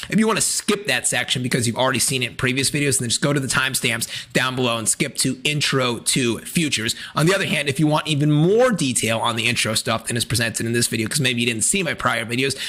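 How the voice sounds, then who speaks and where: Hoarse, gravelly man giving a tutorial. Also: medium pitch, pushed and bright. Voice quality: raspy, congested. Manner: plain-spoken, instructional, colloquial. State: hoarse, losing his voice from a cold. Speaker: host of a crypto exchange tutorial channel. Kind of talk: casual step-by-step tutorial narration.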